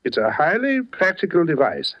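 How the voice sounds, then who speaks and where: An actor playing a formal, sardonic police captain in a 1940s radio thriller, speaking in a dry, knowing manner. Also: male voice, a little high, huge pitch swings. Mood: dryly knowing. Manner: measured, knowing, dryly formal. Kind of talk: 1940s radio suspense acting. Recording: dull, lo-fi 1940s radio broadcast.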